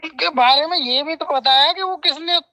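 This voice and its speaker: A man's voice: extremely high pitch, normal speed, sharp tone. A man calling in to a religious debate, polite but persistent as he pleads to be heard. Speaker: caller, religious disciple. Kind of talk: debate on a phone call.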